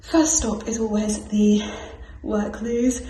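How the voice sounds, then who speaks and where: A woman's voice: mid-range voice, level tone, takes it slowly, background noise. A woman in her twenties with an English accent, chatting informally and self-deprecatingly in a casual day-in-the-life vlog. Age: 20s. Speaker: vlogger, student actuary. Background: music.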